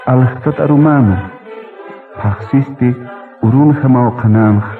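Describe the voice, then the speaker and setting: A man's voice: mid-range voice, a little slow. Thin, phone-like male narrator over music. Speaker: radio drama narrator. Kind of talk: scripted narration in Aymara.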